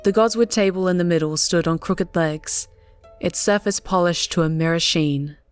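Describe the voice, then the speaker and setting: A woman narrates an audiobook story in a scripted reading style. Her voice sits a little low, with soft music underneath. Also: normal speed, clear, resonant tone.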